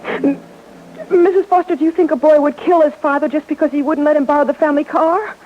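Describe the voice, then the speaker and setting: A woman's voice: high voice, fairly even intonation, fast talker, a little hiss or hum, lightly acted. An actress playing an anxious, fretful teenage girl in old radio suspense style, with hesitant, stammering speech. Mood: shaken, anxious. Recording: muffled old radio broadcast with music.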